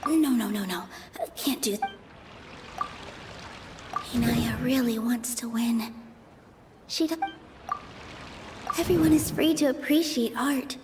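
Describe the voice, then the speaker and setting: A woman's voice: a little high, slow pace, pauses often, noisy background. A woman voicing an earnest, articulate young female dancer in a video game, defending her theater. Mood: nervous aside. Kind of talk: English video game voice acting.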